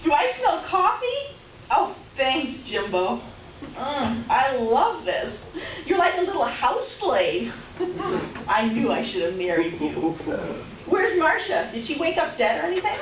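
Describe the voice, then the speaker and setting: A woman's voice: high voice, very animated, unhurried. A woman acting a vivacious, needy middle-aged houseguest who rambles and gushes in a comic readers theater play. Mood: playful, flirtatious. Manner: gushing, rambling, dramatic, oblivious. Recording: roomy, distant, dull, noisy stage recording.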